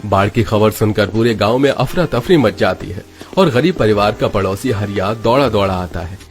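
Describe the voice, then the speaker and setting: A man's voice: fairly low pitch, lively intonation, moderate pace, soft background music. A man giving dramatic scripted narration of a story.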